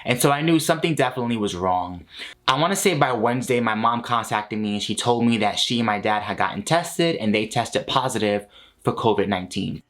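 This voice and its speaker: Animated man telling his story to camera. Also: lowish voice, brisk. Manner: animated, expressive, laughs between lines. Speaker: vlogger. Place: small home studio room with shelves.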